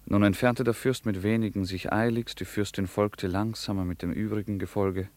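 A man narrating a literary radio play in a measured, formal way. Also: on the low side, steady tone, a little mellow, no echo, natural voice.

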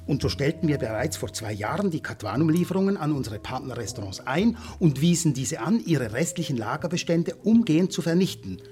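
An actor playing a ruthless, polite businessman villain in a German radio drama, speaking with measured, pompous courtesy that veils a threat. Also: fairly high pitch, sing-song, a little mellow. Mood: smugly explanatory. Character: suave ruthless businessman villain, polite, menacing, scientifically zealous. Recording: studio radio drama with music behind.

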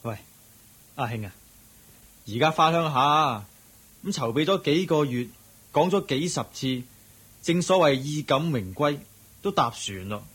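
A teasing, excitable sidekick in a Hong Kong Cantonese radio play. His voice is husky and sits a little high for a man. He delivers his lines playfully, as someone always ready for a prank. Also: unhurried, long pauses, heavily acted. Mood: knowing. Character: excitable, teasing male companion, game for mischief. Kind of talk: Buddhist radio drama acting.

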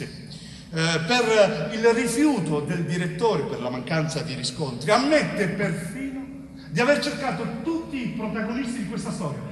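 A man's voice: high voice, slight background noise. An actor playing a wronged innocent man in middle age in an intense stage monologue, bitter and weary, repeating phrases like an incantation. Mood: anguished, accusing. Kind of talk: dramatic theatre monologue. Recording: echoey theatre hall, distant mic, music.

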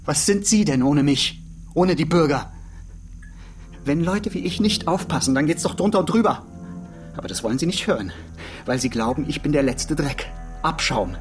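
A man's voice: fairly high pitch, expressive, normal speed, pauses often, music in the background, lightly acted. An actor playing a resentful male busybody under suspicion in a radio play, speaking in a defensive, aggrieved manner. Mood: bitter, agitated. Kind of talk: radio drama dialogue.

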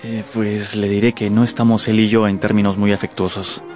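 A man's voice: lowish voice, flat delivery, a little fast, a little mellow, faint music behind, lightly acted. A man playing a charming young officer, courteous and aggrieved, in a radio drama. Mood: aggrieved, confiding.

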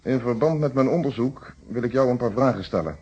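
A man's voice: mid-range voice, brisk, darkish tone, breathy edge. An actor playing a blunt, probing police inspector in a radio play, stern and lecturing as he questions people. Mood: stern, formal. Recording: muffled vintage radio play recording.

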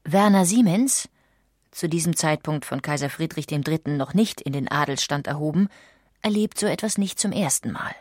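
Female narrator, lower register, measured and factual documentary read, dry studio sound, faint music. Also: darkish tone, dry recording.

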